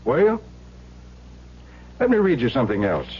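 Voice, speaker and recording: A man's voice: medium pitch, huge pitch swings, normal speed. A man playing a stern, methodical police lieutenant in a 1970s radio drama, firm and pressing. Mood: accusing. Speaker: actor. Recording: dull, noisy old radio recording.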